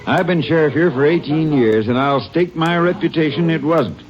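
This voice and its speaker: Man playing a folksy veteran sheriff, slightly high lively voice, crowd behind, lo-fi old radio. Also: normal speed, close to an everyday voice. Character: veteran small-town male sheriff. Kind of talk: 1950s radio drama acting.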